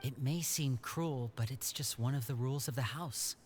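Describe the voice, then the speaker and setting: An actor voicing a composed, loyal young man in a video game, explaining things in a measured, matter-of-fact way. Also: mid-range voice, a little hiss or hum, natural voice. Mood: grave. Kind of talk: video game character acting.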